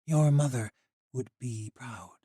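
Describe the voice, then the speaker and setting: A man voicing an ancient girl who speaks softly and sorrowfully. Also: medium pitch, takes it slowly, breathy edge, dry recording, clean studio sound, voice pushed up.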